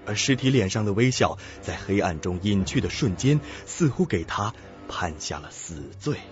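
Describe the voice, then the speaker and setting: A man's voice: fairly low pitch, lively intonation, moderate pace, lo-fi sound. A man narrating a suspense audio drama in a dramatic, scripted storytelling style. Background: music.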